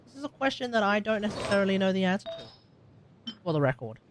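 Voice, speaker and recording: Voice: mid-range voice, sing-song, a little fast, noisy background. Rambling Australian streamer thinking aloud. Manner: rambling, thinks aloud, greets chat, sudden loud outbursts. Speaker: game streamer. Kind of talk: casual live-stream chatter while gaming.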